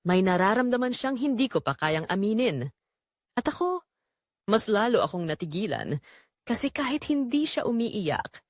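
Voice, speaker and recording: Woman narrator, scripted confessional storytelling, animated intonation, dry close sound. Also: medium pitch, no room sound. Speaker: narrator of an audio drama, reading as the wife.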